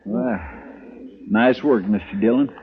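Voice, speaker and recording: A man's voice: on the low side, slow pace. An American man acting a loyal, deferential, folksy deputy in a 1950s radio western. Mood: alert. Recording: dull, faintly noisy old radio.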